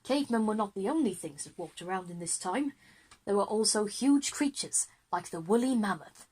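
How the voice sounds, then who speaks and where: A woman's voice: mid-range voice, expressive, moderate pace, a little mellow, husky, low-quality recording. A woman playing an enthusiastic, easily flustered male history teacher in a children's audio drama, with an eager, wordy lecturing manner.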